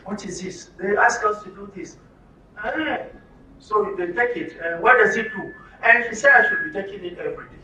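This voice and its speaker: High, bright-voiced Nigerian man teaching. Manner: didactic, repeats answers and phrases, quizzes listeners. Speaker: trainer leading an orientation workshop for media workers. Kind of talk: interactive lecture with questions to the audience. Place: echoey room at a live workshop. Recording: roomy echo, distant mic, faint noise.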